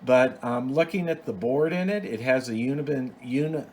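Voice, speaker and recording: A man's voice: medium pitch, normal speed, darkish tone. A man giving a casual, unscripted show-and-tell as a hobbyist host, explaining things in a rambling, plain-spoken way. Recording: clear background noise.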